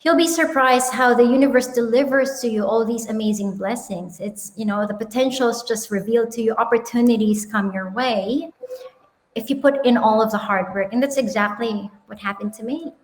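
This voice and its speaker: A woman in her thirties with a Filipino accent, telling her founder story in an animated, upbeat way with frequent ums and laughs, on a video call. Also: fairly high pitch, moderate pace, echoey room, poor audio. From Philippines. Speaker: guest, company founder. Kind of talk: casual interview chat. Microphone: webinar video call.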